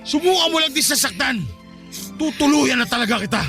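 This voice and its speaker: An actor playing a grieving, furious father who shouts and sobs accusations at his wife. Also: very high-pitched, animated, fairly quick, soft background music, heavily acted. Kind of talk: audio drama acting.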